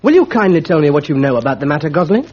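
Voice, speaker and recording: Strict male schoolmaster, clipped and formal; a man reads him. Husky, lively pitch. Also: rapid-fire. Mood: stern. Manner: precise, clipped, formal questioning. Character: stern middle-aged male schoolmaster. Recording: lo-fi old archive recording.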